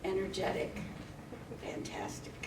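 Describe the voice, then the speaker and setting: Slow, halting woman reading names. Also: in a whisper, distant mic. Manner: slow and halting, stops to add remarks. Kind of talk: reading a list of names at a meeting. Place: community meeting room. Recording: echoey room audio recorded from afar, with noise.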